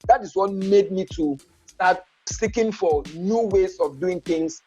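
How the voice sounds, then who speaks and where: A man's voice: high voice, huge pitch swings, measured pace, vocal fry, darkish tone, faint music behind. A man with a Cameroonian accent, a podcast guest telling his personal story conversationally.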